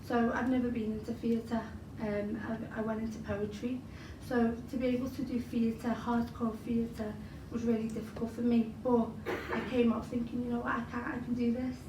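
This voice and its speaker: A woman's voice: a little high, flat delivery, normal speed. A young woman with a Scouse accent, a poet answering interview questions in a chatty way with many fillers, whose first language was Arabic. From Liverpool, England. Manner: chatty, frequent fillers and you-knows. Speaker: interviewee, spoken word poet. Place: large event hall. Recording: roomy hall recorded from a distance with noise.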